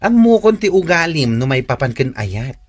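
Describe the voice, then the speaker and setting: A man's voice: medium pitch, sing-song, moderate pace, husky. A man playing a blunt, caring, gossipy friend who gives advice in a radio drama. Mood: blunt, concerned.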